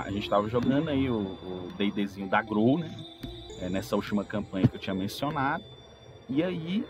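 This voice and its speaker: A man with a Brazilian accent explaining examples casually on a podcast, often checking in with tag questions. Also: mid-range voice. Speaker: podcast speaker. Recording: prominent music behind the voice. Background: music.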